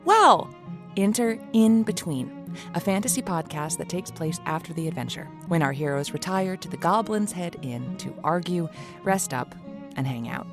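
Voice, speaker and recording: Woman, slightly low voice, playful, chatty, scripted podcast promo, music behind. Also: lively intonation, normal speed. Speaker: show co-creator reading a promo.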